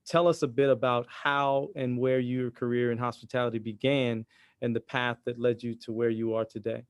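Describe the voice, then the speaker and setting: A man hosting an interview podcast in a conversational, somewhat halting way, often repeating words. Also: medium pitch, level tone, clean tone, no echo. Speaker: podcast host.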